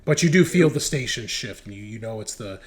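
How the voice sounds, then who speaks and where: A man's voice: fairly low pitch, expressive, a little fast, over music. A man narrating a tabletop horror game as game master in a casual, conversational way.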